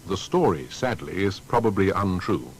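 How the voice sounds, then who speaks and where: A male narrator delivers scripted biography with articulate, emphatic phrasing. His voice is fairly low with a lively, wide-ranging melody, recorded with faint noise and rumble. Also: normal speed.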